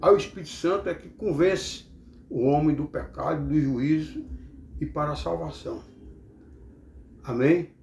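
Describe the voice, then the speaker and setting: A man's voice: on the high side, moderate pace, gravelly, pauses often, a little mellow, reverberant, a little hiss or hum. A man from Bahia with a Bahian Brazilian accent, teaching the Bible like a preacher, in a didactic way with rhetorical check-ins.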